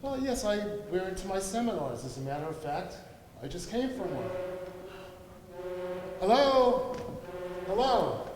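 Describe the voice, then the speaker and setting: Actor as an animated, impatient retired pilot. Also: male voice, sits high, measured pace, pauses often. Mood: brusque, impatient. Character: vain, impatient retired airline pilot in uniform. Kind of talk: amateur stage comedy. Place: theatre stage during a live play. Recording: echoey stage hall, faint hiss.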